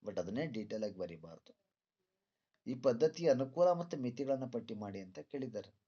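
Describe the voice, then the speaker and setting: A man's voice: medium pitch, lively intonation, moderate pace, mellow. Male lecturer in dull, lo-fi sound. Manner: didactic, repeats points, asks rhetorical questions. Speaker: teacher, education lecturer. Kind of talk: exam-review lecture to students.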